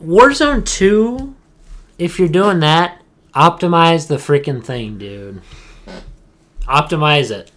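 A man's voice: on the high side, lively intonation, slow pace, long pauses, fairly bright tone. Emphatic young man in casual chat. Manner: emphatic, repeats phrases for stress. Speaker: podcast co-host.

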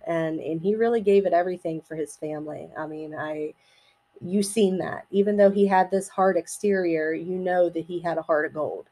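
Podcast host: middle-aged woman, slightly raspy, on the low side, informal solo monologue, reflective and halting. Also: darkish tone. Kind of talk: casual personal podcast monologue.

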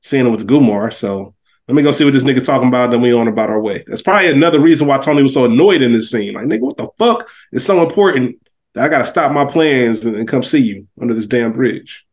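A man's voice: medium pitch, animated, fast talker. A man co-hosting a TV review podcast in casual, conversational, slangy talk. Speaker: podcast co-host.

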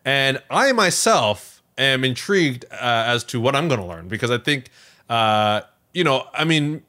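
Male podcast co-host, bright and punchy, very animated delivery, playful and conversational. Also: medium pitch, normal speed. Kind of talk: casual podcast chat.

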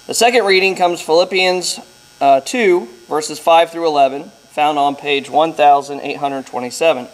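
An American man with a fairly high voice reads scripture aloud in church. He delivers the verses formally, as a lay reader addressing a congregation. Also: rough recording. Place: church, during a Sunday service.

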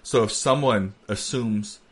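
A man's voice: measured pace, slightly rough. A man in his thirties hosting a podcast, chatting casually and acting out quoted lines as he tells stories. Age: 30s. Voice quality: deep voice with a beard. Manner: animated storyteller who acts out quotes. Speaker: podcast host. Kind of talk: casual chat.

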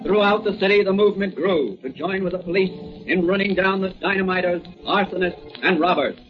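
A man's voice: high-pitched, brisk. A man giving scripted narration in a dramatic, declamatory announcer style. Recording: muffled old radio recording with music.

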